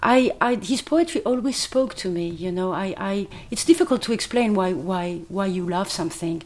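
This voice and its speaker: A woman's voice: medium pitch, normal speed. A woman whose first language is French, a writer and translator, chatting as a podcast guest in her kitchen and speaking reflectively with an easy laugh. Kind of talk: casual conversational interview. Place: home kitchen.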